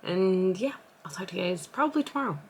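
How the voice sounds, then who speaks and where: A woman's voice: medium pitch, animated, quick pace, darkish tone, a little hiss or hum. A young adult woman, an aspiring author, chatting casually at home in a vlog, rambling and correcting herself as she thinks aloud. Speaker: aspiring author vlogging her writing.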